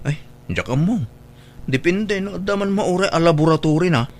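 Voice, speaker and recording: A man's voice: a little high, lively intonation, normal speed, a little hiss or hum. A man acting in an Ilocano radio drama as an easygoing household driver, with an Ilocano accent. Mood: casual. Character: easygoing male household driver, helpful and deferential. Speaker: drama actor.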